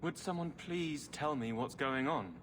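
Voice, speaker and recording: A man's voice: on the high side, measured pace, fairly bright tone, slight background noise. An actor playing a bewildered but polite male city guardsman, formal and deferential, in a fantasy video game. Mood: confused, uneasy. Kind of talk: cinematic game dialogue acting.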